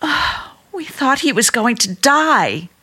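A woman's voice: sits high, sing-song, slow pace, bright, forceful tone, slightly rough, heavily acted. An actress playing a doting, trusting middle-aged sister and small-town mother in an old-time radio drama, chatty and earnest. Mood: nostalgic.

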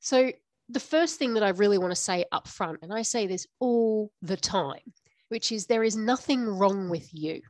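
A woman's voice: medium pitch, moderate pace, a little mellow, no room sound. Nasal, stuffy-nosed woman podcast host. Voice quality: slightly nasal. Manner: frank, tells it like it is, laughs often. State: stuffy nose after the flu. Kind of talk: unscripted business podcast monologue.

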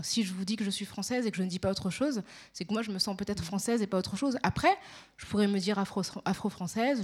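A young woman with a pronounced Parisian accent, answering interview questions in a measured, articulate way with frequent hesitations. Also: mid-range voice. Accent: Parisian French. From Paris, France. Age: young adult.

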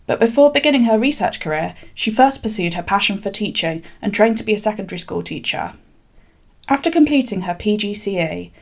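A woman podcast interviewer with a gravelly, fry-heavy voice reads a scripted profile and asks friendly questions. Her delivery is clear and upbeat. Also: mid-range voice, a little mellow. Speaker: interviewer and narrator.

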